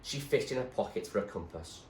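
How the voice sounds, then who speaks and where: A man narrates a storybook expressively in a fairly low voice. His delivery moves widely up and down. The room is echoey, with faint background noise. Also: expressive, fairly quick, darkish tone. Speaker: teacher reading aloud.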